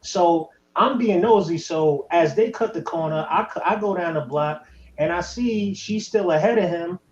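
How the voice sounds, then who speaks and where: Animated New man on a call. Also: high voice, normal speed, echoey room, lo-fi sound. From New York. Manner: animated storyteller. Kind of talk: casual chat.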